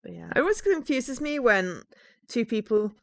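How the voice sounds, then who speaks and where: A woman's voice: a little high, sing-song, normal speed, vocal fry, dry recording. A young woman from the East Midlands with a fairly neutral English accent, chatting with her live-stream viewers while she plays a game, laughing often and teasing back. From England, East Midlands.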